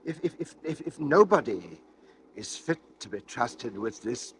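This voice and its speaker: An actor playing a timid, polite gentleman who stammers and hesitates, in 1940s radio thriller style. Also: medium pitch, big pitch swings, measured pace. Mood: nervous, hesitant. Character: flustered, timid, polite gentleman, easily alarmed. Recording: noisy old 1940s radio recording.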